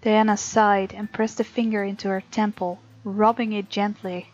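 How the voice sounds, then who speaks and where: A woman gamer reading visual novel narration aloud in an expressive, informal way, with casual commentary of her own. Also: medium pitch, moderate pace. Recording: muffled mic, game music behind. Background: game music.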